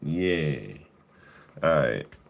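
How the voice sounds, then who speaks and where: A man with a deep voice, hosting a livestream in casual chat, laid-back and profane, laughing often. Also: extremely slow. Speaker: livestream host.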